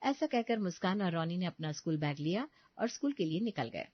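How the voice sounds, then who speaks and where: A woman's voice: lowish voice, sing-song, brisk, dry recording, highs cut off. A woman reading a scripted family story as its narrator.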